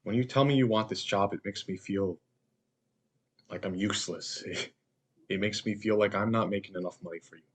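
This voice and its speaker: A man's voice: fairly low pitch, brisk, natural voice. A young man acting in a stage play as a controlling, insecure husband who belittles his wife. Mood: wounded, low. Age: young adult. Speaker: student actor.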